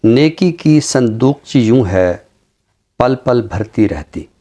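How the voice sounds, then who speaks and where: A middle-aged Pakistani man speaking in a formal, deliberate way, as a literary commentator on a talk show. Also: medium pitch, expressive, dark tone. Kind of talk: reflective commentary and poetry recitation on a literary show.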